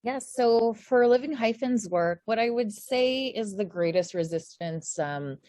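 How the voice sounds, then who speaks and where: A woman's voice: fairly high pitch, expressive, measured pace, warm tone, no echo. A woman from the Philippines speaking on a video-call panel, candid and searching for words. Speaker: workshop panelist. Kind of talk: conversational panel talk.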